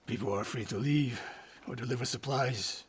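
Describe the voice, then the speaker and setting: Man acting a gruff older samurai master. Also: medium pitch, brisk, a little hiss or hum, close to an everyday voice. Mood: measured, tactical. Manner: terse, authoritative, grave. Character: stern, world-weary older samurai archery master and mentor. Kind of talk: dramatic video game acting. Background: music.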